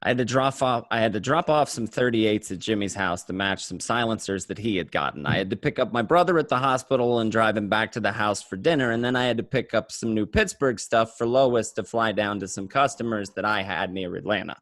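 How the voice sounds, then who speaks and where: A man acting as an excitable mobster who tells his crime story conversationally, tripping over and repeating words. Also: on the low side, a little fast, hardly any pauses, no echo, natural voice. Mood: matter-of-fact. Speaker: amateur actor in an online radio play. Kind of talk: first-person dramatic monologue, conversational.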